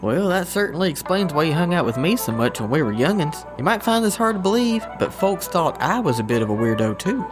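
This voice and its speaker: An actor with a Southern US accent playing a goofy, good-natured country man in an audio drama, talking in a folksy, drawn-out way. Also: fairly high pitch, music in the background. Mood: curious, teasing. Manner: folksy, drawn-out, excitable storytelling. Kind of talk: scripted audio drama dialogue.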